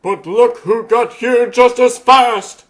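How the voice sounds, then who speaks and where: A man's voice: extremely high pitch, fairly even intonation, slow, fairly bright tone, heavily acted. A man voicing a wry rabbit superhero leader in a comic dub, theatrical and sardonic, over music. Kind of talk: comic dub character acting. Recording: faint music underneath.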